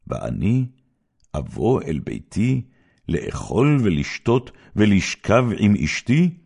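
Deep, gravelly man reading scripture dramatically. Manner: solemn, measured, expressive storyteller delivery.

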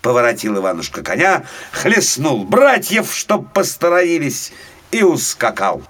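A man narrating a folk tale for small children as an expressive, dramatic storyteller. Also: medium pitch, unhurried. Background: faint music.

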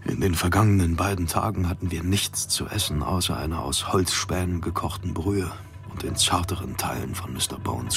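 Gravelly, very low-voiced starving polar captain. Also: male voice, a bit bright. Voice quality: gravelly, often breathy and near-whispered. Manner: grave, formal, deliberate diary delivery. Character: starving, grimly resolved male polar expedition captain. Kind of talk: radio drama, first-person logbook monologue. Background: music.

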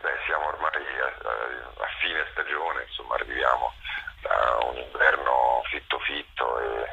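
Very deep, creaky man, hesitant and pausing. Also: steady tone, sharp tone, highs cut off. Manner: hesitant, pausing, searching for words. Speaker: theatre actor-director, interview guest. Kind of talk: thoughtful interview conversation.

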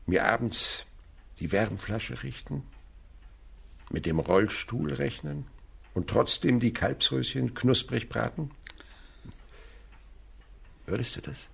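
An actor playing an old French naturalist in a radio play, telling his memories vividly and wistfully with a gravelly voice. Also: medium pitch, slow pace, pauses often, fairly bright tone, full character voice. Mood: gruff, tender. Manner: vivid dramatic storytelling. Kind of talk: German radio play acting.

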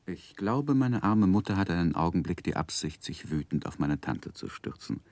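A man recounting his life in a 1960s literary radio play. His voice is low and moves expressively up and down. The delivery is measured and gently wry, recorded close and dry. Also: expressive, no room sound. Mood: wry, narrating. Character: wry grown man narrating his own life story. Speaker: narrator. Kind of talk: scripted literary narration in 1960s radio drama.

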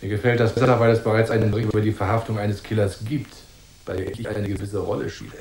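An actor playing a hot-tempered, bullying crooked cop in a noir radio drama, sneering and threatening. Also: male voice, on the low side, little pitch movement, fast, a little mellow, echoey room, a little hiss or hum, natural voice. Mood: menacing. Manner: sneering, threatening, gruff-spoken.

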